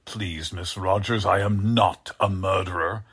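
An actor playing a deranged, coldly polite mad scientist in 1940s radio drama style, speaking in a deliberate, menacingly formal manner with a husky, creaky voice. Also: male voice, low voice, steady tone, a bit bright, heavily acted. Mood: self-justifying.

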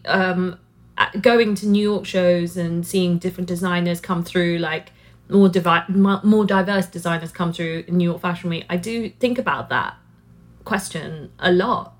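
A woman's voice: lowish voice, a little slow, a bit bright. A woman interviewing a guest in a casual, earnest way, using "like" often as she talks. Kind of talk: conversational interview.

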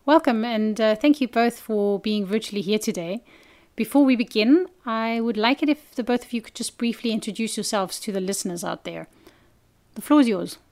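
A woman's voice: on the high side, normal speed, a little mellow. A woman hosting an interview podcast, presenting scripted introductions and questions to her guests. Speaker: podcast host.

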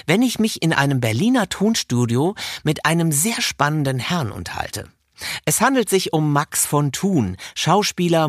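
A man's voice: fairly high pitch, no room sound. A man hosting a podcast and interviewing a guest in a recording studio. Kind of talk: scripted podcast introduction, then studio interview.

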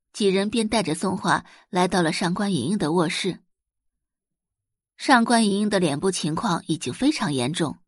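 A woman reading scripted fiction narration as an audiobook narrator, in an expressive storytelling style. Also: medium pitch, slightly rough, no echo, clean studio sound.

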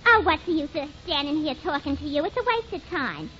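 A woman's voice: high voice, animated, brisk, a bit bright. An actress playing a brash, scornful young woman with a sarcastic manner, in 1940s radio comedy style. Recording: muffled 1940s radio recording, faint noise.

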